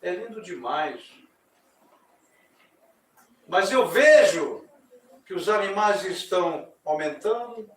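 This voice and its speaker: A man's voice: high-pitched, animated, takes it slowly, pauses often, fairly bright tone, voice pushed up, exaggerated voice. A man voicing a lonely young son of a god in a creation legend, plaintive and pleading. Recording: echoey, distant mic.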